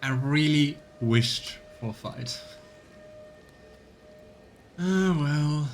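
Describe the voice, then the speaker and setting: A man's voice: fairly high pitch, lively intonation, drawn-out words. Man streaming a game over background noise. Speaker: let's play streamer. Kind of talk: casual live game commentary, sometimes reading game text aloud.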